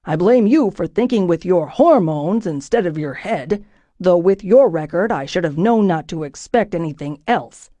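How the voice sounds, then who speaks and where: A woman voicing a stern middle-aged male ship captain, speaking in low, clipped, menacing commands for an audiobook. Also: lively intonation, normal speed, warm tone, dry recording, natural voice. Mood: shouting. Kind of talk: audiobook character voice.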